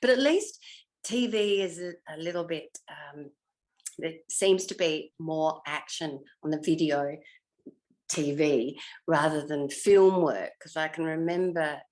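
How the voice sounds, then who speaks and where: An older woman, a former television actress, reminiscing in an interview in an effusive way, often pausing to search her memory. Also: sits low, darkish tone.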